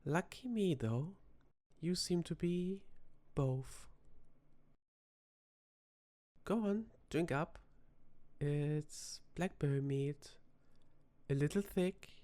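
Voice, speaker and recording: A performer voicing a flirty, teasing vampire tavern bartender in a soft-spoken ASMR roleplay, with dramatic pauses. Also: medium pitch, lightly acted. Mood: wry, inviting.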